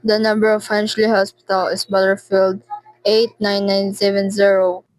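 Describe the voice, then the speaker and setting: A woman's voice: medium pitch, monotone, moderate pace, lightly acted, rough recording. A teenage girl acting in a radio drama as a formal, detached female telephone operator with a polite, routine manner.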